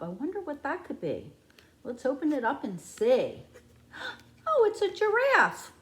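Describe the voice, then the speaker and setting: A woman reading a picture book aloud to young children like a preschool teacher, with expressive, exaggerated wonder. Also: a little high.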